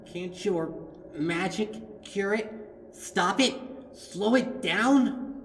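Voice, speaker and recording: A man voicing an anguished young female pegasus, brash but breaking, with pleading, halting delivery in a dramatic story reading. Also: high-pitched, reverberant, long reverb tail, a little hiss or hum, voice pushed up. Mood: desperate pleading. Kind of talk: dramatic fan fiction reading.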